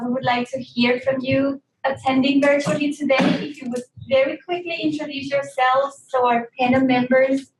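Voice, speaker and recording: A woman hosting a workshop, explaining things politely and pausing now and then to find her words. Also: high-pitched. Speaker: webinar host and presenter. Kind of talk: presenting to a live workshop. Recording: echoey room, distant mic, faint noise.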